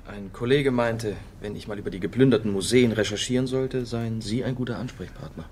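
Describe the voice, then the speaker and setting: Low-voiced young male reporter played by an actor. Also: brisk, a little mellow, slight background noise, natural voice. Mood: tentative, probing. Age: young adult. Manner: hesitant in dialogue, sober in narration. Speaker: radio drama actor and narrator. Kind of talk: radio drama narration and dialogue.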